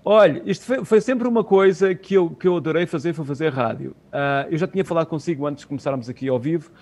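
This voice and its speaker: A middle-aged man with a European Portuguese accent, answering interview questions in a halting, conversational way over a video call. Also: on the high side, darkish tone. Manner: halting, searching for words, repeating phrases. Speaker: interview guest, community radio co-founder. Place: small room at home, on a video call. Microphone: earphone headset on video call.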